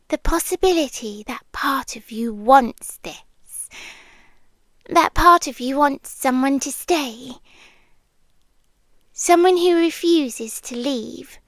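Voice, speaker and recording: A British woman playing a possessive, tender demi-human yandere girlfriend in an ASMR roleplay, softly and breathily, often dropping to a whisper. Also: sits high, no room sound. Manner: slow, deliberate, pausing between short phrases. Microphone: close, dry microphone.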